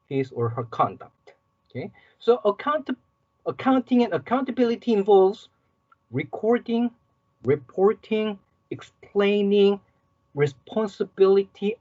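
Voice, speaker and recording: A man's voice: high voice, a little mellow, slightly rough, no echo, muffled recording. Korean-accented man giving a lecture. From South Korea. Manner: explanatory, repeats key terms, frequent "okay" and "so". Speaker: university lecturer. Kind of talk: guest lecture.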